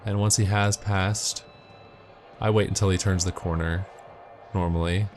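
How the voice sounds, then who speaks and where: A man's voice: low-pitched, steady tone, unhurried, a little mellow, soft background music. A man giving matter-of-fact, step-by-step instructional commentary as he narrates a video game walkthrough.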